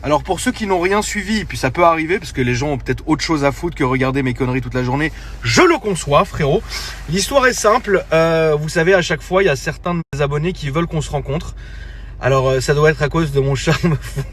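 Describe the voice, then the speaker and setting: A man chatting casually into his phone from a car, relaxed and slangy, with lots of fillers and restarts. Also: on the high side, fairly quick, a little hiss or hum. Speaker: social media creator. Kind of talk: casual phone story announcement.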